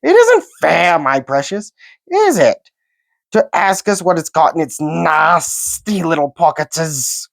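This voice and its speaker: Hissing, whining cave creature, high and wheedling, swoops wildly, dry close sound. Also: male voice, big pitch swings, takes it slowly, vocal fry, dry recording, full character voice. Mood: whining, upset. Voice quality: hissing, sibilant. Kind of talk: audiobook character voice.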